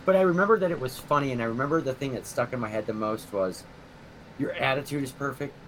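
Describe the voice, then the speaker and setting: Enthusiastic man, halting and profane. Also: medium pitch, a little hiss or hum, rough recording. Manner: halting, enthusiastic, swears freely. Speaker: podcast guest, artist.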